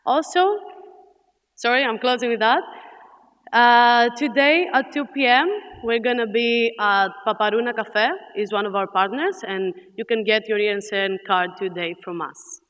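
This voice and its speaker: A woman's voice: on the high side, normal speed, fairly bright tone. A young woman giving an informal welcome presentation as a student network representative. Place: large indoor hall. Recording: echoey hall with long reverb.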